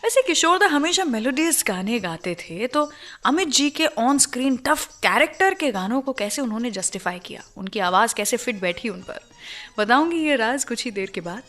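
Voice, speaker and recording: A woman's voice: on the high side, fairly bright tone. An Indian woman hosting a radio show, telling stories conversationally and putting questions directly to listeners. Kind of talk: conversational radio storytelling with song trivia. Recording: music playing under the voice. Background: background music.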